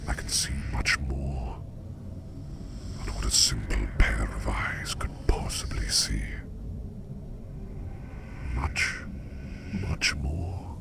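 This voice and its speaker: A man playing a curious, enigmatic sleep demon in a whispered ASMR roleplay, speaking in a hushed, deliberate and formal way with a breathy, gravelly voice. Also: extremely low pitch, heavily acted. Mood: knowing, eerie. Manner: slow, deliberate, hushed, formal wording with repeated phrases. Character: curious, enigmatic male sleep demon who walks dreams.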